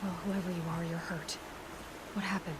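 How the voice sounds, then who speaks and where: A woman's voice: fairly low pitch, flat delivery, normal speed, close to an everyday voice. Woman playing a direct, self-assured young huntress. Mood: concerned. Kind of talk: video game voice acting. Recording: clear background ambience noise.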